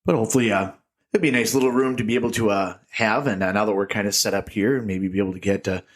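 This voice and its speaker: A man's voice: on the low side, very fast. A man co-hosting a casual beer podcast, rambling with jokey tangents and frequent laughs, recorded in a small echoey room with a tinny sound. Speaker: podcast co-host. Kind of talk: casual chat.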